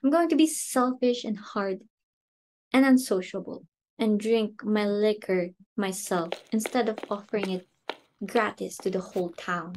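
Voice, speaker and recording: A woman's voice: medium pitch, measured pace, darkish tone, slight background noise, natural voice, low-quality recording. A woman playing a flustered, snobbish society hostess and mother in an amateur dramatic reading, exclamatory and imperious. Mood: bitter, indignant.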